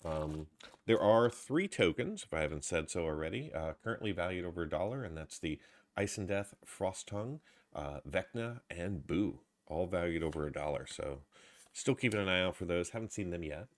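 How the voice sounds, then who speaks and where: Stuffy, low-voiced man listing trading cards. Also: lively intonation, normal speed. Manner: low-energy, listing card names with frequent uh fillers. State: stuffy from a cold. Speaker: card box opener. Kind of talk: casual card-opening commentary.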